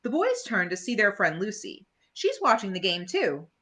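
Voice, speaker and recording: Very bright, animated woman reading stories. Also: mid-range voice. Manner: expressive, pauses to chat to young listeners. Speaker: children's storyteller at a library. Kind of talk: animated read-aloud bedtime story.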